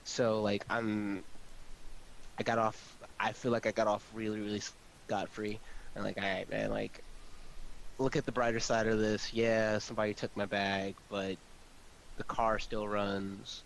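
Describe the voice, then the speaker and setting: A man's voice: lowish voice, level tone, unhurried, gravelly. A man chatting casually in a rambling, repetitive, slangy way. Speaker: amateur audio drama producer. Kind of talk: casual chat. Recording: hissy, noisy home microphone.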